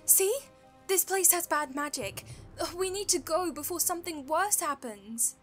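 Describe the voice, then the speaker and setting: Fearful, superstitious crewwoman for audio fantasy, played by a woman; high voice over faint music. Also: expressive, unhurried. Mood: fearful, urgent. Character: frightened, superstitious female ship's crew member. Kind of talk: fantasy audio drama acting.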